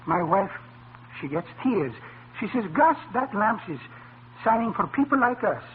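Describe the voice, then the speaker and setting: An actor playing a humble, cheerful immigrant restaurant owner in old radio comedy, with foreign-accented broken English and a heartfelt manner. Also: male voice, high voice, sing-song, a little slow. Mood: earnest, heartfelt. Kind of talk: 1940s-1950s radio comedy acting. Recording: tinny, noisy vintage radio recording.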